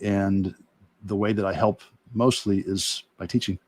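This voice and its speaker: A middle-aged man, an art teacher, talking earnestly and reflectively in halting phrases in a casual livestream discussion. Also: low voice, fairly even intonation, darkish tone, dry recording, studio quality. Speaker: artist and illustration teacher, guest.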